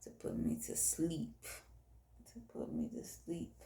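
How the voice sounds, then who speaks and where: A woman with a Southern US accent and a hoarse, tired voice, hosting an intimate late-night podcast monologue in deliberate, drawn-out phrases. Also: deep voice, huge pitch swings, mellow, echoey room. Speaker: podcast host.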